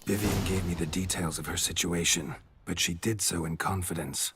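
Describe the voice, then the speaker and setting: Low-voiced, laconic monster hunter. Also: male voice, steady tone, moderate pace, vocal fry, background noise. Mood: firm, blunt. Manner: laconic, understated, matter-of-fact. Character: stoic, blunt male witcher, monster hunter.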